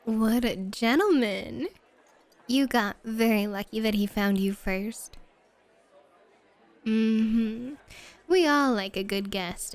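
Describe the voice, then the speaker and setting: A woman playing a flirty, teasing bunny-club hostess in an ASMR roleplay, with coy, drawn-out phrasing. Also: on the high side.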